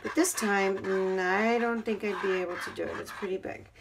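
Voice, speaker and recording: Hoarse, gravelly-voiced woman crafting. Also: medium pitch, steady tone, normal speed, noisy background. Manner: chatty, talks while working with her hands. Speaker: craft tutorial host. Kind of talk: casual craft tutorial narration. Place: craft table at home.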